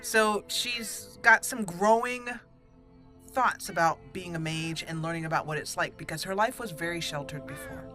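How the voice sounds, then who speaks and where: A woman's voice: lowish voice, lively intonation, moderate pace, bright, forceful tone, faint music behind. A woman giving casual, chatty commentary as she plays and roleplays a game, talking to the characters. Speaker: host of a roleplay game playthrough.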